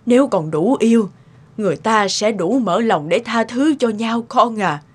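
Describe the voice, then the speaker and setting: A woman playing a gentle, wise elderly mother in a cartoon, giving counsel. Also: fairly high pitch, expressive, moderate pace, slightly rough. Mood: tender, reflective. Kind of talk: cartoon melodrama dubbing.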